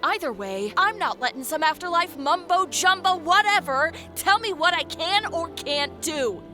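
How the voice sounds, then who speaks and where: A woman's voice: very high voice, expressive, normal speed, pushed and bright, soft background music, exaggerated voice. A woman playing a headstrong, loyal young adventurer in an audio drama, with a blunt, emotional way of talking. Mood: defiant, determined. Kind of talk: audio drama character acting.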